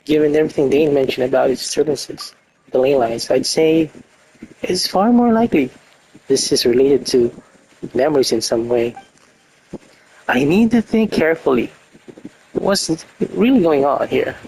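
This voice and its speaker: A man voicing a thoughtful, earnest young traveler hero in fan-read game dialogue, deliberate and analytical. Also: fairly high pitch, lively intonation, gravelly, dark tone, rough recording. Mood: pondering. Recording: faint noise, clicks on microphone.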